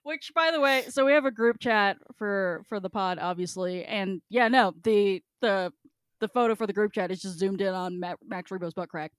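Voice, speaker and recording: A woman's voice: mid-range voice, moderate pace, smooth, no echo, studio quality. A woman co-hosting a podcast in casual, informal chat, wandering through her sentences and swearing freely. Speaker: podcast host. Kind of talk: casual chat among co-hosts.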